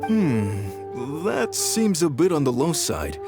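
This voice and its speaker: A proud, hearty cook in a fantasy game. The voice is fairly high for a man and lively, with a jovial manner and music behind. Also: takes it slowly, exaggerated voice. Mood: haggling. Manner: jovial, expressive. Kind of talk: video game character acting.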